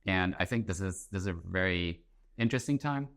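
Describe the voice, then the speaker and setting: A man talks as a guest in an interview. His voice is low and deep, and he lays out his ideas in a thoughtful, conversational way. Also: animated, fairly quick, fairly bright tone. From Germany. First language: German. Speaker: interview guest, author and entrepreneur. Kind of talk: conversational explanation.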